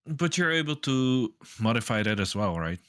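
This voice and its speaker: A man's voice: medium pitch, sing-song, normal speed, a bit bright. A man chatting casually as a podcast co-host, easygoing and teasing, with laughs between his lines. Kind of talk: casual conversation.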